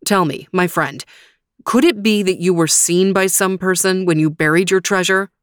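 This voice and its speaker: A woman voicing a learned wise man who speaks in a measured, counseling way. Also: on the low side, no echo, studio quality, lightly acted. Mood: probing.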